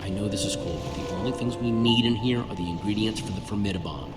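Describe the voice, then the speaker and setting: An actor playing an earnest young adventure hero in a video game, casual, wry and encouraging. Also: male voice, fairly low pitch, fast talker, close to an everyday voice. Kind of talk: video game character acting. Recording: echoey game audio under loud music.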